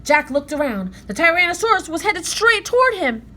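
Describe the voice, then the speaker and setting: A woman narrating a children's story aloud in an expressive read-aloud style. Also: very high voice, fairly quick.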